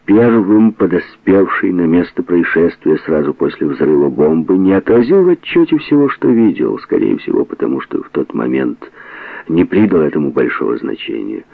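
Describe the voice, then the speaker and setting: Deep gravelly male audiobook narrator. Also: no echo, phone-quality sound. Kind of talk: scripted dramatic narration of a novel.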